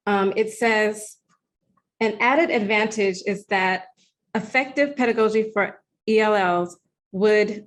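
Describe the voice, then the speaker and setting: A woman with an American accent moderating an online panel in a conversational way. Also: medium pitch. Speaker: webinar moderator.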